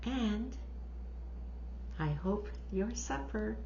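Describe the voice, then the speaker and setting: A woman reading a picture book aloud to children in an expressive, drawn-out storytelling manner, full of wonder. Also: mid-range voice, gravelly. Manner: expressive, drawn-out phrasing, gasps of wonder and questions to young listeners. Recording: dull lo-fi sound with faint noise.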